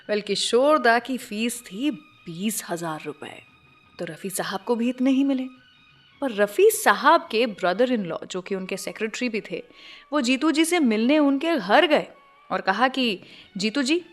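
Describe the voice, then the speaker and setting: High-voiced Indian woman hosting radio over music. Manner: conversational, addresses listeners directly. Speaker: radio show host. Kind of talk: scripted radio storytelling between songs. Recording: music playing behind the voice. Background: background music.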